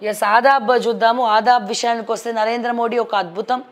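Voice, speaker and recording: A woman news presenter with a distinctly high voice and a Telangana accent. She reads headlines and comments on them in a conversational, opinionated way, dropping in English words as she goes. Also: steady tone, fairly quick.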